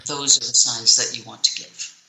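A woman with a low speaking voice, coaching on public speaking in an interview, emphatic and deliberate, with purposeful pauses and shifts in tone. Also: steady tone, pushed and bright, echoey room, low-quality recording. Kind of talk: interview answers, instructive.

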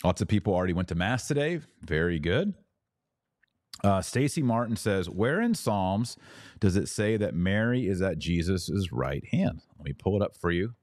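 A man's voice: sits low, sing-song, moderate pace, a little mellow, no room sound, studio quality. A man speaking as a podcast host, teaching about religion conversationally and answering questions in a didactic, emphatic way.

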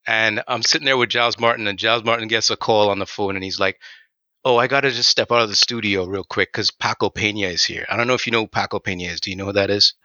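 Chatty man, bright and muffled. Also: fairly low pitch, fast, no echo. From Trinidad. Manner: animated, chatty, many fillers. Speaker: game audio designer. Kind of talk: casual interview answers.

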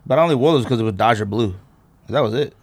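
A man with an American accent hosts a podcast in a medium-pitched voice. He sounds a bit under the weather and talks in loose, slangy, informal phrases, as in a casual chat. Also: fast, husky. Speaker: podcast host.

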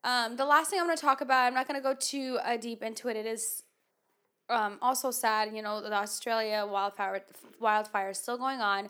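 A woman's voice: fairly high pitch, steady tone, normal speed, a bit bright, smooth. A woman hosting a podcast in casual chat, with a nasal voice and a rambling, filler-heavy way of talking. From United States. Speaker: podcast host.

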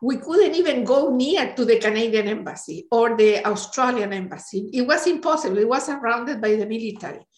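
A woman in her late sixties speaks English with a Chilean Spanish accent. Her voice sits a little high as she looks back on her life as an interview guest. The room adds a clear echo around her. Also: expressive, a little fast, hardly any pauses, a little mellow. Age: late 60s. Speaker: interview guest, retired NGO director. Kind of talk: reminiscing in an interview.

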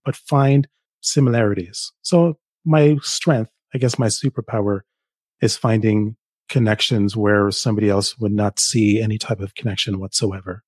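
A man's voice: on the low side, fairly even intonation, normal speed, darkish tone, slightly rough, no room sound. A middle-aged man from Jamaica, a guest author, telling stories enthusiastically in a casual interview. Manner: enthusiastic storyteller, some restarts, laughs.

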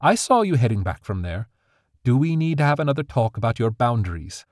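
A man's voice: mid-range voice, very animated, a little fast, no echo, clean studio sound, voice pushed up, lightly acted. Man doing a stern scolding fairy mother. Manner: stern, emphatic.

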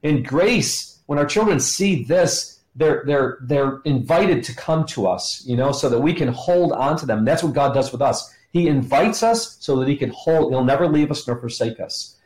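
Male guest teacher, middle-aged or older, average pitch, explanatory and emphatic, strongly roomy sound. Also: darkish tone. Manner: explanatory, emphatic pauses, rhetorical questions. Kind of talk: teaching in conversation, quoting scripture.

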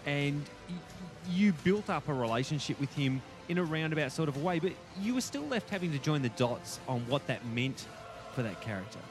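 Male podcast co-host for casual movie chat, slightly high voice, thoughtful and rambling, faint music underneath. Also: sing-song, a little fast. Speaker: podcast co-host reviewing films.

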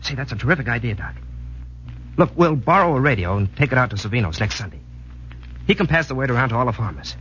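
An actor playing an earnest, jittery young American soldier in 1940s radio drama style, excitable and prone to stammer. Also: male voice, medium pitch, fast talker, lightly acted. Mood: excited. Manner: excitable, emphatic, stammering when nervous. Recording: dull, noisy vintage radio recording.

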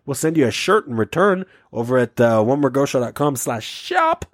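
A man's voice: mid-range voice, sing-song, moderate pace, no echo, clean studio sound. A man co-hosting a comedy podcast, rambling goofily through the show's sign-off plugs and laughing often. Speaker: podcast co-host. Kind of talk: casual comedy podcast banter.

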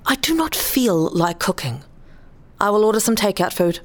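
Curt mother with lively pitch, played by a woman. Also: moderate pace, close to an everyday voice. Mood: irritated, curt. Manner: curt, blunt. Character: irritable, blunt wife and mother. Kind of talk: radio play acting.

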